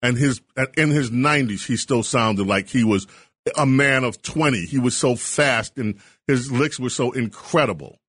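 A man's voice: medium pitch, moderate pace, a bit bright, husky, no echo, clean studio sound. A man hosting a call-in talk radio show, talking eagerly and wandering off on tangents. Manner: enthusiastic, rambling tangents. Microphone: studio microphone.

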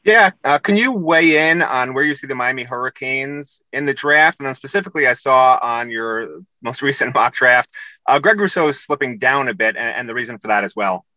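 Male reporter, sharp bright voice, dry, slightly lo-fi call audio, medium pitch. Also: animated, fairly quick, no echo. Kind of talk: asking questions on a press conference call. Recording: slightly dull phone audio.

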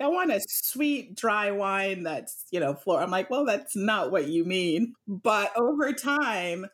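A woman in her thirties from Jamaica, a sommelier guest talking in a chatty, animated way with lots of "you know" fillers. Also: lowish voice, husky. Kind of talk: casual interview chat.

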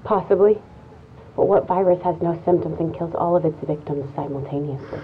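A woman's voice: low voice, fairly quick, narrow, tinny audio, a little hiss or hum, natural voice. An actress playing a level-headed, clinical woman in an audio drama, speaking in an analytical, matter-of-fact way. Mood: grim, analytical. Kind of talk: audio fiction acting, naturalistic.

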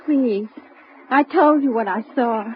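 An actress playing a young nursemaid, sassy and easily upset, in a 1950s radio drama. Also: female voice, on the high side, slow pace. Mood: shaken, pleading. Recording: muffled, noisy old radio recording.

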